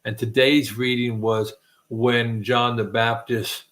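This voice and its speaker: A man's voice: medium pitch, flat delivery, slow, a bit bright. Middle-aged guy hosting a late chat, rambly, keeps restarting sentences, jokes about himself. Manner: rambling, many restarts, self-deprecating jokes. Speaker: host. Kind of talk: casual chat.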